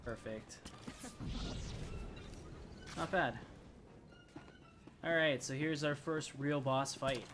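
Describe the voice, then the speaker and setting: A man's voice: a little high, very slow, pauses often. A man giving casual live gameplay commentary as a streamer, narrating his own moves in a self-deprecating way. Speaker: game streamer. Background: game music.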